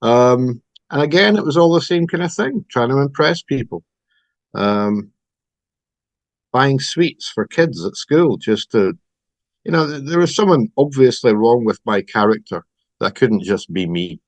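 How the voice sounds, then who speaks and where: A middle-aged Scottish man telling his personal story on a podcast over a remote call, in a reflective, halting way with many pauses and ums. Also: medium pitch, expressive, dry recording. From Scotland. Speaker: podcast guest.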